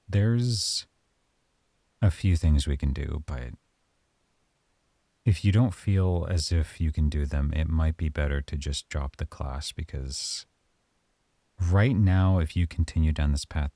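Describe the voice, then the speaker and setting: Very deep gravelly man, soft-spoken. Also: no room sound. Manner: calm, measured, softly stern. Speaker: audio roleplay performer as a professor. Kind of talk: ASMR roleplay monologue.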